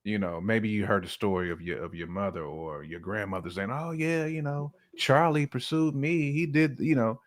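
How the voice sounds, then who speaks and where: A man's voice: fairly low pitch, normal speed, few pauses. A man, middle-aged, talking casually as a podcast guest, explaining his views in a reflective, colloquial way. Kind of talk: casual relationship discussion.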